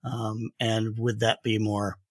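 A man's voice: on the low side, flat delivery, slow pace, slightly rough, no room sound, studio quality. A man speaking as a podcast guest, explaining things thoughtfully in a conversational expert discussion.

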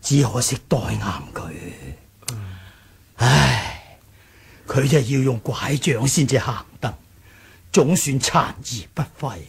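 A man playing an aged, kindly martial arts grandmaster in a radio drama, with a Hong Kong Cantonese accent, a gravelly voice and a sighing delivery. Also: medium pitch, exaggerated voice. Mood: relieved, wistful. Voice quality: husky, gravelly old voice. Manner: slow, sighing.

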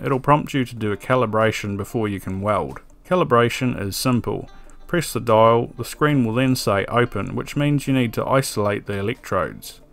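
A man giving a calm, methodical scripted voice-over for an electronics build tutorial, with a dry sense of humor. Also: medium pitch, vocal fry. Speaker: electronics DIY video maker. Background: soft background music.

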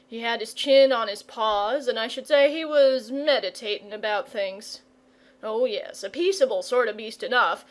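A woman's voice: a little high, natural voice. A rustic shepherd of a storybook, acted out by a woman. He is an older family man, nervous and easily flustered. He talks in rambling, folksy country dialect. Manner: folksy dialect speech, plain-spoken.

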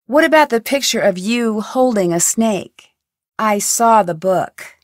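A woman's voice: medium pitch, expressive, unhurried, no echo, clean studio sound, lightly acted. An actress voicing a curious, determined young female amateur detective in a video game, asking earnest questions. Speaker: game protagonist. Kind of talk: studio video game voice lines.

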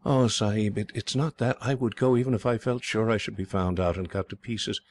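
A frightened young girl speaks in a high, hesitant voice, stumbling over her words, as a male narrator puts her on. She sounds timid and eager to please, like a figure from a storybook adventure. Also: steady tone, natural voice. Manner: timid, breathless, halting. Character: frightened young English girl raised as a slave.

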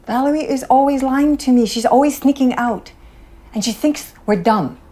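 A woman playing a strict, exasperated mother of teenagers who scolds dramatically, her voice climbing high when she is upset. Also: moderate pace, mellow. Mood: scheming. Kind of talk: comedy sketch acting.

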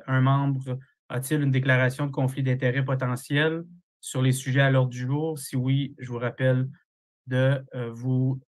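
A man chairs a formal meeting over a video call, moving through procedure with frequent fillers. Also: medium pitch. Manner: procedural, halting with many fillers.

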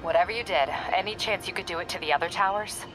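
An actress playing a no-nonsense, weary female police captain in a video game, brisk and dry. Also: lowish voice, over music, lightly acted, poor audio.

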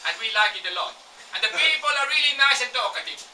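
A man's voice: very high-pitched, expressive, fast, bright, forceful tone, a little hiss or hum, heavily acted. A man playing a boastful, washed-up Finnish drinking coach on a theatre stage, with a Finnish accent, barking drills and rambling. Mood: chatty. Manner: barking drill commands, then rambling chatty asides. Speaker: stage actor in a comic monologue. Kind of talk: live theatre monologue. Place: dark theatre stage with an audience. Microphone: far room mic. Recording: roomy hall sound, from far off.

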